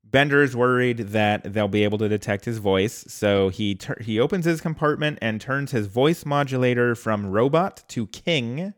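A man's voice: medium pitch, normal speed, few pauses, dry recording, studio quality. A man co-hosting a podcast, chatting casually as he recaps an episode step by step. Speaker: podcast co-host.

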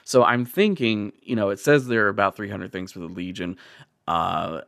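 A man's voice: on the low side, moderate pace, gravelly, fairly bright tone, no room sound, clean studio sound. A man hosting a podcast, talking casually and comedically with a wry, profane streak. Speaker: podcast host.

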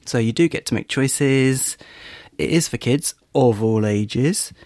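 A man's voice: mid-range voice, slow pace, a little mellow, no echo, clean studio sound. A man co-hosting a podcast with casual, conversational banter. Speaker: podcast co-host.

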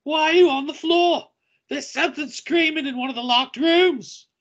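A man voicing a frightened, shrill storybook protagonist, on slightly dull audio. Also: moderate pace, fairly bright tone. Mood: alarmed, urgent. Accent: put-on British, later Southern hillbilly. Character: shrill frightened young protagonist, first British then hillbilly drawl.

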